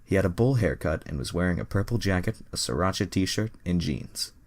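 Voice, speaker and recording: A man's voice: low-pitched, animated, fairly quick. A man reading a story aloud in a mock-dramatic way, casually breaking into profane commentary. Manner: profane, exasperated asides. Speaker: reader and commentator. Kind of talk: mock-dramatic reading of crude fan fiction with casual asides.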